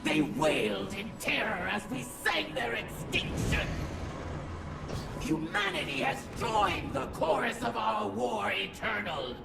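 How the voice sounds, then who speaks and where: A bloodthirsty alien war goddess from a video game, rasping with heavy gravel in the throat. She proclaims slowly and grandly, her pitch sweeping widely. The voice echoes from a distance over music. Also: sing-song, exaggerated voice. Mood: gloating, triumphant. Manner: grandiose, menacing, slow ceremonial declamation. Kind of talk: theatrical video game villain monologue.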